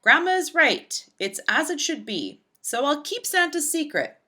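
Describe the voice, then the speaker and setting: A woman narrating a rhyming children's storybook in an expressive, deliberate storytelling manner for young listeners. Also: high-pitched. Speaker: children's book read-aloud narrator.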